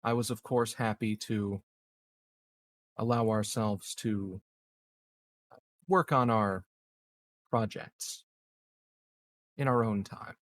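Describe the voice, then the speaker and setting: A man playing a measured, calculating and discreet corporate executive in a roleplaying game, speaking formally with long deliberate pauses. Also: on the low side. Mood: measured, scheming. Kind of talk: improvised in-character roleplay dialogue.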